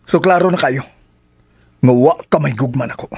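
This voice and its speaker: A mid-pitched, exasperated man, cornered by a demanding lover. He pleads in dramatic radio fashion over a faint layer of noise. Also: unhurried, creaky, darkish tone. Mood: exasperated, pleading.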